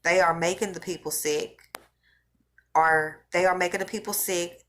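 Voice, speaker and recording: A woman's voice: lowish voice, fairly even intonation, moderate pace, a bit bright. Woman losing her voice, rambling vlog talk. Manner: rambling, repeats and restarts phrases. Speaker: vlogger recounting religious visions. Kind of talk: casual spoken monologue to viewers.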